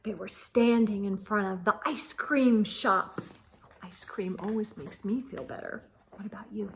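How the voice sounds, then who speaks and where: A woman reading a story aloud to children as narrator, in a deliberate, expressive way. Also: mid-range voice, a little hiss or hum.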